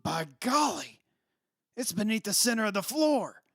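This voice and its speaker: A man's voice: sits high, big pitch swings, normal speed, a bit bright, faint music behind, exaggerated voice. A man acting the part of a brash, bossy, middle-aged father in a radio play, blunt and scoffing about superstition. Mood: brisk, bossy. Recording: echoey hall, long reverb, background hiss.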